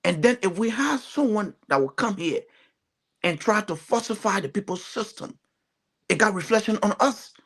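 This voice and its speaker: A man's voice: high-pitched, animated, moderate pace, fairly bright tone. A man from Liberia with a Liberian accent, giving earnest, emphatic political commentary as a talk show panelist, often repeating phrases.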